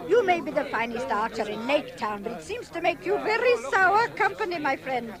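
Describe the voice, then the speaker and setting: A woman playing a chirpy, teasing, excitable townsperson in an audio drama. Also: high-pitched, moderate pace. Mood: teasing, cheerful. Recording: loud music and crowd behind.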